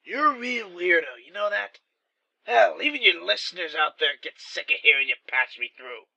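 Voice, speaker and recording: Male actor as hostile radio caller: very high, piercing, creaky voice, mocking working-man grouch in a spooky radio drama. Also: expressive, normal speed, pushed and bright, exaggerated voice, poor audio. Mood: scornful, heckling. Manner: abrasive, mocking, slangy. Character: belligerent, fed-up working man heckling a radio show.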